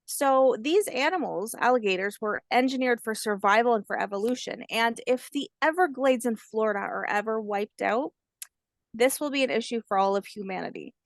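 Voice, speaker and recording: A woman's voice: on the high side, normal speed, no room sound, studio quality. A woman, a children's book author, talking casually and informally.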